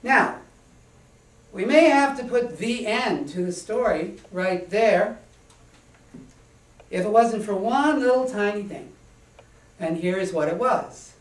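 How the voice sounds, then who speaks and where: A man tells a whimsical children's story on a small stage. The delivery is deliberate and rhythmic, with the sound echoey and somewhat distant from the microphone. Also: high voice. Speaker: author reading own story. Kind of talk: children's storytelling to a live audience. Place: small indoor stage before an audience.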